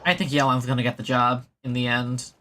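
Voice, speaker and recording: A man doing excitable let's play commentary as a gaming streamer, chatty and gushing like a fan. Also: mid-range voice, fairly quick, background noise.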